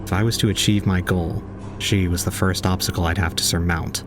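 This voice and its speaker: A man's voice: low voice, steady tone, brisk, noisy background, lightly acted. A man playing an aloof young man, narrating an audio drama in a formal, detached, cold way. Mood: brooding, detached.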